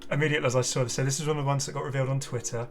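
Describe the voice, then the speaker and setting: A man hosting a casual game showcase, talking in an enthusiastic, rambling way with halting explanations. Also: medium pitch, steady tone. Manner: enthusiastic, effusive. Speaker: gaming video creator and host. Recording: music playing behind the voice. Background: clear background music.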